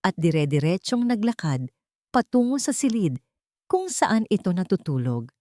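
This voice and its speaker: A woman reading dramatic scripted story narration in a measured way, with short weighted phrases. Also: fairly low pitch, mellow, dry recording, studio quality.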